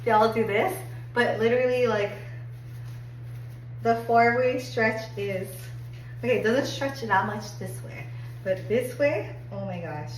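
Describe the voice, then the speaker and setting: Hoarse woman chatting in an echoey room. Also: on the high side, measured pace, long pauses. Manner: chatty, upbeat, many "like"s. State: hoarse, getting over a cold. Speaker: fashion haul vlogger. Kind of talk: casual try-on review. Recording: echoey room, distant mic, faint hum.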